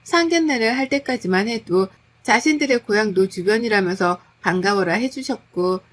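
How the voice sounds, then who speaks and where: A woman's voice: medium pitch, normal speed. A woman reading a scripted first-person story as its narrator.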